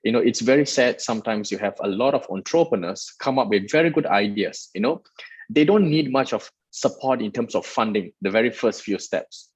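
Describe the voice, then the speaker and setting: Man from malaysia explaining stuff earnestly, lots of restarts, husky voice, dull dry sound. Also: lowish voice, lively intonation, brisk, no echo. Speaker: guest, startup festival director. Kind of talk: interview answers.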